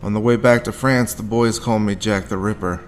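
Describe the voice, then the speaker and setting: An actor playing a grim, haunted war veteran, telling his story deliberately in a noir audio drama. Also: fairly low pitch, fairly even intonation, faint music behind, natural voice. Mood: grim, tense. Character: haunted hard-boiled male war veteran recounting his grim past.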